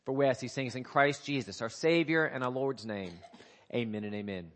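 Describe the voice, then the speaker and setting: Scratchy-voiced male pastor, halting sermon. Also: medium pitch, a bit bright. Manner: halting, many fillers and restarts. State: scratchy voice from a coming cold. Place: church sanctuary.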